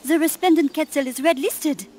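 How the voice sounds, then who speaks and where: A woman's voice: high-pitched, normal speed, darkish tone, slight background noise, lightly acted. An actress playing an earnest, frightened young French woman with a French accent in a video game cutscene. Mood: wary, curious. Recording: music behind the voice.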